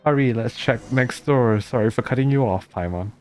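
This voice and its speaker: Casual male game streamer, mid-pitched voice. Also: moderate pace, a little mellow, faint music behind. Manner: informal, reactive asides. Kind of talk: casual playthrough commentary, reading out game text.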